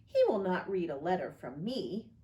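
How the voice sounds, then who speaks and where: Woman voicing an eager little girl, lively and swooping. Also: lowish voice, moderate pace, roomy sound. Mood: discouraged. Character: earnest little girl, eager yet easily discouraged. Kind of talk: children's picture book read aloud.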